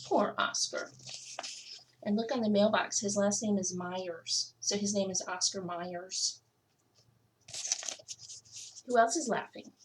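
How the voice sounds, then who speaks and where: A woman's voice: medium pitch, slow, pauses often, poor audio. A woman reading a children's picture book aloud expressively, stretching out key words for emphasis. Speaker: school technology coordinator reading to children.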